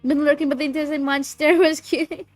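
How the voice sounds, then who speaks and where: A high-pitched woman with a cold, sniffling as she chats with her livestream viewers. She talks bubbly and loose, swears now and then, and reads creepy stories aloud. Soft music plays faintly behind her. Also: flat delivery, brisk, dry recording. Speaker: streamer reading creepypasta. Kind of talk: casual livestream chat and reading aloud.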